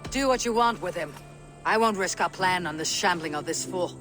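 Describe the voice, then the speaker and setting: An actor playing a hard, impatient Viking war leader in a video game, terse and commanding. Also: male voice, sits high, moderate pace, pushed and bright, faint music behind. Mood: resolute.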